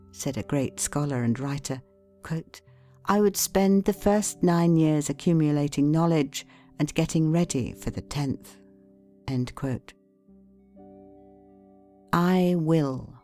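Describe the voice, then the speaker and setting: A woman reading an audiobook in a measured, formal, deliberate manner. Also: deep voice, mellow, faint music behind. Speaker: audiobook narrator. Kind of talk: scripted audiobook narration.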